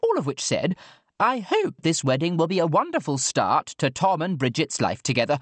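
A man's voice: wildly swooping pitch, normal speed, no echo. A breezy posh English dowager swooping grandly through a storybook speech at a country-house party, acted out by a male narrator. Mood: breezy, cheerful. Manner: plummy, booming, blithely theatrical. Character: jolly posh loud older woman, brazen and breezy. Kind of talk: audiobook character dialogue.

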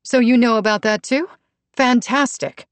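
A woman's voice: a little high, moderate pace, clean tone, no echo, voice pushed up, close to an everyday voice. A woman voicing a blunt, defensive, sardonic mother in an audiobook. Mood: bitterly sarcastic. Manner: blunt, confrontational. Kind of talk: audiobook character dialogue.

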